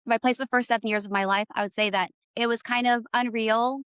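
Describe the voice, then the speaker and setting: A woman's voice: on the high side, fairly even intonation, fast talker, a bit bright, clean tone, dry recording, clean studio sound. A middle-aged woman from Canada, a guest in an interview, speaking reflectively with hesitant fillers. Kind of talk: personal interview conversation.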